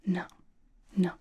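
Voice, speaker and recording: A woman playing a sassy, vain young K-pop star doting on a fan in an ASMR roleplay, in a breathy whisper with teasing, deadpan delivery.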